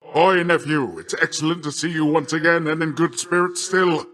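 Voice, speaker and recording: An actor playing a boastful, middle-aged sea god in a video game, a hearty and doting uncle with a grandiose, jovial, theatrical delivery. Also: male voice, a little high, normal speed, fairly bright tone, close to an everyday voice. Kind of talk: video game character voice lines.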